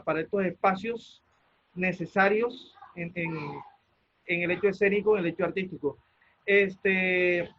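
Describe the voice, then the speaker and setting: High-voiced Colombian man moderating, dull sound. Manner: halting, word-searching. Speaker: moderator of an arts project presentation. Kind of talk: spontaneous formal event introduction.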